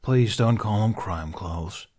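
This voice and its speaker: A grumpy, exasperated man, as a male narrator puts him on in a storybook reading. His voice sits low and he grumbles like the only sensible one in the group. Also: slow pace, darkish tone. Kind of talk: audiobook character voice.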